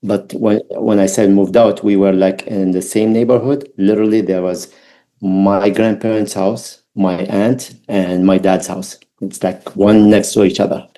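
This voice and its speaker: Mellow middle-aged man with an Iraqi accent. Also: on the low side, level tone. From Baghdad, Iraq. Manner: halting, reflective, non-native English phrasing. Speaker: podcast guest. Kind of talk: personal storytelling interview.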